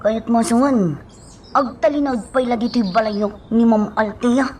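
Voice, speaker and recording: An actress playing a chatty, teasing household confidante in an Ilocano radio drama. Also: female voice, a little high, steady tone, a little slow, a little mellow, a little hiss or hum, close to an everyday voice. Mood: concerned.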